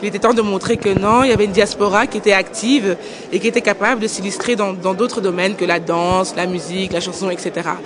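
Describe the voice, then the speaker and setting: A woman's voice: medium pitch, fairly even intonation, quick pace, background noise. A young woman from Belgium, co-founder of a language school, answering interview questions in an earnest, articulate way. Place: indoor book fair hall. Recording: busy crowd chatter behind.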